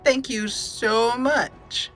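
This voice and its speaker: A woman's voice: a little high, steady tone, drawn-out words, a bit bright, soft background music. A woman hosting a roleplay let's play, chatting expressively and directly to her viewers. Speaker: host of a gaming channel.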